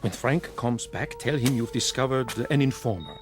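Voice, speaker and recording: An actor playing a sinister, cunning mentor in a radio drama, deliberate, commanding and theatrical. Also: male voice, medium pitch, lively intonation, over music, lightly acted. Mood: cold, scheming. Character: sinister, cunning male mentor, grandly manipulative.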